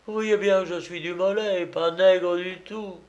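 A man's voice: high-pitched, steady tone, normal speed, darkish tone, lo-fi sound, pitched above the performer's own voice, lightly acted. A man voicing a hapless bridegroom in a comic storybook tale, protesting in a plaintive, faltering, flustered way. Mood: pleading. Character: hapless flustered bridegroom, pleading indignant provincial cousin.

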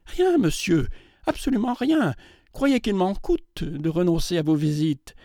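A man's voice: high voice, very animated, measured pace, mellow, no room sound, studio quality, pitched above the performer's own voice, heavily acted. A man voicing a timid, aging spinster schoolteacher who speaks in a flustered, pleading way. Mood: anxious.

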